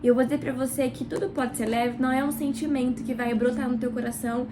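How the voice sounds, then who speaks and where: Congested Brazilian woman, high-pitched. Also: level tone, background noise. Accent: Brazilian Portuguese. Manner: warm, chatty, addresses viewer directly with pauses. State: nasal and congested from a cold. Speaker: book club host. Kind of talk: casual devotional reading vlog. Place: small home kitchen.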